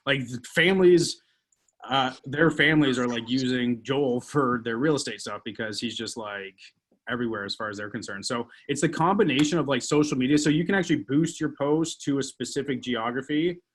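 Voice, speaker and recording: A man's voice: medium pitch, a little fast. A man hosting a call, rambling casually with many fillers.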